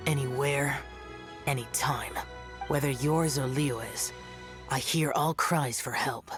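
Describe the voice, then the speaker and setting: Woman as a low, brooding immortal male guardian. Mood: solemn, protective. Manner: solemn, curt, formal and measured. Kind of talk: video game voice acting.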